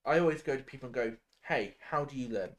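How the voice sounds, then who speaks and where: A man in a casual discussion, explaining his points haltingly as he thinks aloud. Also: medium pitch. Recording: music playing behind the voice. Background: music.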